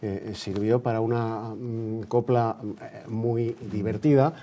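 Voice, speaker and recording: A man's voice: fairly low pitch, steady tone, slow, warm tone. A man from hosting a broadcast interview in a conversational, somewhat hesitant way, repeating words as he goes. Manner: hesitant, repeats words, checks with short tags. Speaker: TV programme host, interviewer.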